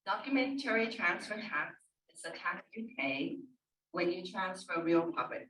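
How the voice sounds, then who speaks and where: A middle-aged woman speaking as an escrow officer on a panel, friendly and measured. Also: lowish voice, expressive, a bit bright. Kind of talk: panel talk. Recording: echoey room, distant mic.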